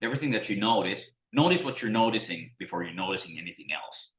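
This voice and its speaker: A middle-aged man, teaching in a halting, musing way with many restarts, talking to a webcam at his desk. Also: on the low side, lively intonation, fairly bright tone. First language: Finnish. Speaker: spiritual teacher. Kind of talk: unscripted talk to camera. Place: small room at home, indoors. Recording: roomy echo.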